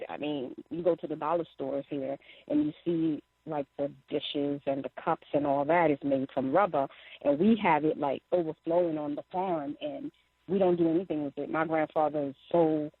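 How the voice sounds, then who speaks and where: A woman's voice: low voice, breathy edge, no echo. Fired-up young woman calling in over a tinny phone line, lots of ums, from liberia. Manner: impassioned, halting with many fillers. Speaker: caller. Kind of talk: phone-in call to a talk show.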